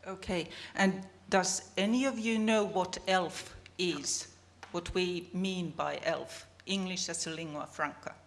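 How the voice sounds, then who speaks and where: A woman's voice: fairly low pitch, steady tone, takes it slowly, hardly any pauses, slight background noise. Finnish woman co-presenting at a conference. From Finland. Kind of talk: academic presentation. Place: conference hall.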